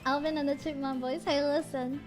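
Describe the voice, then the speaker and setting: A woman's voice: high voice, fairly even intonation, a little fast, soft background music. A woman streamer chatting casually and giggling, switching between English and Japanese. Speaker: VTuber streamer. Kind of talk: casual live-stream chat.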